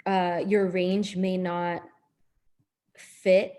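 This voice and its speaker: A woman's voice: fairly low pitch, drawn-out words. Woman songwriter answering questions on a call. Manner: relaxed explanations with ums. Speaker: guest songwriter and topliner. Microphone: video call.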